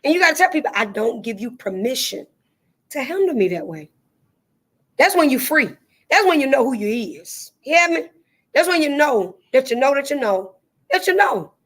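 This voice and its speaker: A woman's voice: high voice, big pitch swings, normal speed, a bit bright. A woman speaking candidly and emphatically, with a preachy, repetitive cadence. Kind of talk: candid testimony-style chat.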